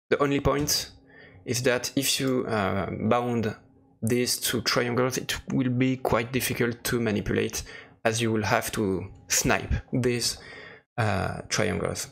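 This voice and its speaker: Slow, gravelly man demonstrating software. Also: mid-range voice. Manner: hesitant, searching for words. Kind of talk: casual screen-recorded tutorial narration. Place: voice-over for a screen recording.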